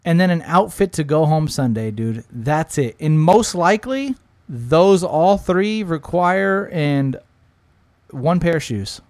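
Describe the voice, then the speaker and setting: A man in his thirties in a casual chat with a friend, animated and joking, swearing and saying "dude" often. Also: fairly high pitch, takes it slowly, dry recording. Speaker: podcast co-host.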